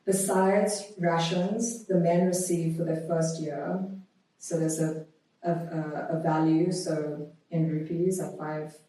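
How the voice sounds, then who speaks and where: Woman in a very echoey room, mellow voice. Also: low voice, steady tone, takes it slowly. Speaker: podcast guest. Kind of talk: conversational interview, reading a historical notice aloud. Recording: very echoey room, distant microphone.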